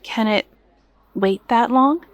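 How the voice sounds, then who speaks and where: A woman's voice: on the high side, takes it slowly, no room sound. An actress playing a worried but resolute woman in an audio drama, earnest and insistent. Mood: anxious resolve. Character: worried, resolute female partner of a patient.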